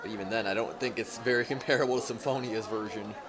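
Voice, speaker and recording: A man's voice: lowish voice, fairly even intonation, fast talker, fairly bright tone, some chatter behind. A man streaming a game, reading visual novel narration aloud and adding his own side commentary. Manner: reads stage directions and punctuation aloud.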